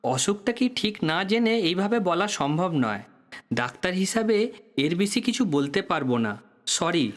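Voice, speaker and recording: High-voiced, guarded doctor. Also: male voice, very animated. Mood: guarded, explanatory. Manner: measured, explanatory, professional. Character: male doctor, courteous, guarded, slightly weary professional. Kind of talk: Bengali audio drama acting.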